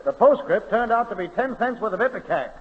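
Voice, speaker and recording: An actor playing a brash, impatient city talent scout, brisk and wisecracking, in a 1930s radio comedy. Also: male voice, high voice, lively intonation. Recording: muffled, noisy 1930s radio, hall reverb.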